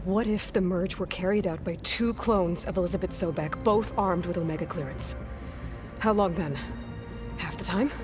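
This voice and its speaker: A woman playing a determined, quick-thinking young heroine in a video game, earnest and probing. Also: lowish voice, over music, natural voice. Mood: concerned, focused. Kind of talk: scripted video game cutscene acting.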